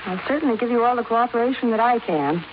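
Through muffled, lightly hissing old radio audio comes a woman acting a well-to-do wife in her thirties. She is poised and formal, speaking in measured fifties radio-drama style. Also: on the high side, animated, natural voice. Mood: earnest. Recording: muffled, hissy 1950s radio recording.

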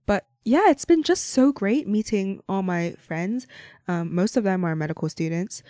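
A woman's voice: medium pitch, animated, moderate pace, warm tone, dry recording, clean studio sound. A young woman from Hong Kong hosting a casual, unscripted podcast, rambling cheerfully with lots of fillers and frequent little laughs. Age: young adult. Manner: rambling, chatty, frequent "like" and "you know", laughs often. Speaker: podcast host.

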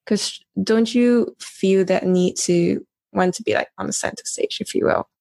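A female interviewer from East Malaysia. Her voice is mid-pitched with plenty of fry, and she puts her questions in a casual, conversational way. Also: unhurried, darkish tone.

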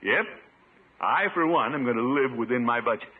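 An actor playing a pompous, blustering middle-aged uncle who chuckles and preaches in a 1950s radio sitcom. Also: male voice, mid-range voice, moderate pace, slightly rough, lightly acted. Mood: preachy. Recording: muffled 1950s radio broadcast.

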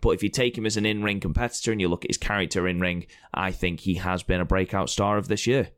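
A man with a very low, hoarse and croaky voice talks in casual podcast banter. He is blunt and opinionated and swears freely. The sound is dry and close, with no room around it. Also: fairly even intonation, fast talker, dry recording. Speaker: podcast co-host presenting award results.

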